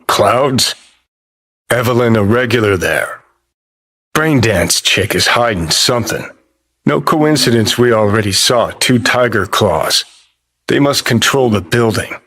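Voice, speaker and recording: An actor playing a cynical, sardonic middle-aged rebel rocker in a video game, with a gravelly, husky, deadpan drawl. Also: male voice, on the low side. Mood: sardonic, cool. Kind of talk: video game character dialogue.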